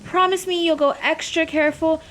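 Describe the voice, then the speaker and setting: A woman's voice: very high-pitched, fairly even intonation, fairly quick, a bit bright, a little hiss or hum. A woman acting a worried, doting mother of a grown son in a radio drama, anxious and fussing. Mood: tender, worried.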